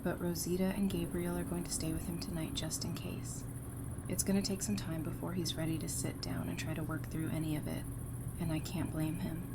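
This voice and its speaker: A woman's voice: fairly low pitch, little pitch movement, brisk, lightly acted. A woman playing a grieving, devoted partner and mother in an audio drama, on a noisy recording. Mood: concerned, tender. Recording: noisy home recording, background hiss.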